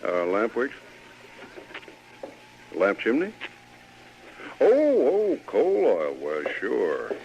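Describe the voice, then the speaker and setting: An actor playing a patient, chatty storekeeper in an old radio western, with folksy, coaxing American patter. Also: male voice, mid-range voice, huge pitch swings, drawn-out words, gravelly, pauses often, darkish tone, heavily acted. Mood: friendly, patient. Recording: noisy, dull old radio recording.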